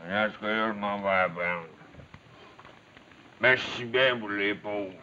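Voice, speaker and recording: A man's voice: lowish voice, slow, pushed and bright. A man acting as a middle-aged village mayor in a 1950s television drama, sly and folksy, with a Quebec French accent. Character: sly village mayor, needling and falsely modest. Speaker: actor playing a village mayor. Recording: muffled, hissy 1950s television soundtrack.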